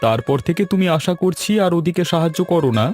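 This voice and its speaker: A man's voice: fairly high pitch, animated, fast talker, a little mellow, soft background music, lightly acted. A man voicing a practical, chatty village husband in a cartoon, conversational and exclamatory. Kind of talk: cartoon dialogue.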